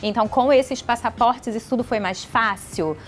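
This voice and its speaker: A Brazilian woman speaks as a podcast host in a conversational interviewing style. Her voice sits a little higher than average. Faint music plays behind her. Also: moderate pace.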